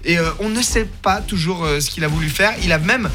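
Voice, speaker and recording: A man's voice: sits high, fairly quick, over music. A man presenting a morning radio segment, telling a funny news story in a playful, joking way. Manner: playful, laughing, exaggerated shouting.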